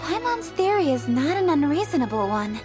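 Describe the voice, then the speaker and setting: An actress voicing a brisk, analytical young woman leader in a game, speaking crisply and matter-of-factly. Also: sits high, big pitch swings, over music. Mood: businesslike. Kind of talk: video game cutscene voice acting.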